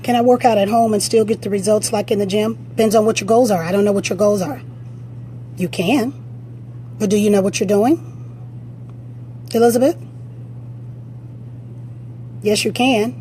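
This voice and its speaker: A woman fitness coach with a raspy voice, giving blunt, direct motivational advice during a live-stream Q&A on a phone. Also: medium pitch, normal speed, long pauses, warm tone, a little hiss or hum, poor audio.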